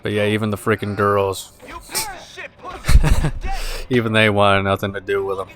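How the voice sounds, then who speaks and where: A young man giving casual gameplay commentary, tired and rambling in a monotone voice. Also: on the low side, slow pace. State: exhausted. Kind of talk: casual let's play commentary. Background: game music.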